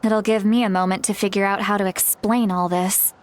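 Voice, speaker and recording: A woman's voice: mid-range voice, steady tone, brisk, slight background noise, close to an everyday voice. A woman voicing a hot-tempered but caring old granny in a video game, scolding in an exasperated, emphatic way. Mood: calmer, weary. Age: older.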